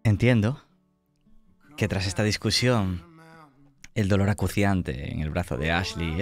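A man with an accent from Spain, narrating a tabletop roleplaying game as game master in a measured, descriptive way. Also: low voice. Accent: Spanish (Spain). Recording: music behind the voice.

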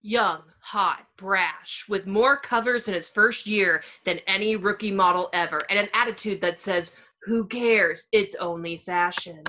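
A woman playing a scheming, high-strung fashion designer and awards announcer in a comedy script read over video call. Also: a little slow, few pauses, pushed and bright, a little hiss or hum. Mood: showy announcing. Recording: dull, lo-fi video call audio.

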